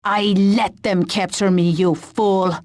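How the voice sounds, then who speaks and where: A woman's voice: mid-range voice, fairly even intonation, slow, a little mellow, no echo, lightly acted. Woman voicing a curt, dry-sounding female secret agent. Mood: irritated, curt. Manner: curt, scornful. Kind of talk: video game cutscene acting.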